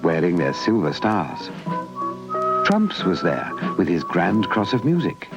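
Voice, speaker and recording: Deep, animated British male storyteller. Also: unhurried. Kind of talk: scripted children's story narration. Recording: muffled old VHS tape, music underneath.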